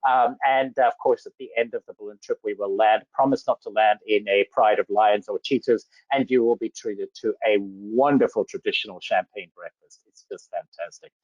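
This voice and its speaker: A man's voice: lowish voice, moderate pace, no echo. A man from Zambia giving an enthusiastic slide presentation about safari travel, full of superlatives. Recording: dull lo-fi dry audio.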